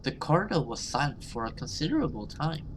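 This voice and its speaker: A man giving casual let's play commentary and reading game text aloud, halting and repeating himself, given to exclaiming. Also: medium pitch, very animated, noisy background. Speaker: gaming video host.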